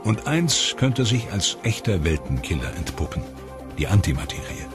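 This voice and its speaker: Deep-ish guy doing documentary voice-over, slow and grave, music playing under him. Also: big pitch swings. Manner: measured, grave and dramatic delivery. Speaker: documentary narrator.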